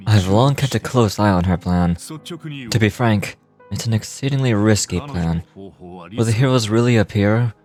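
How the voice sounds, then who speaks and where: Grave, low-voiced military commander, played by a man. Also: darkish tone, soft background music, lightly acted. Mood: grave, persuasive. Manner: measured, formal, deliberate. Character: calm, calculating male military commander, grave and persuasive. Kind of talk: video game voice acting.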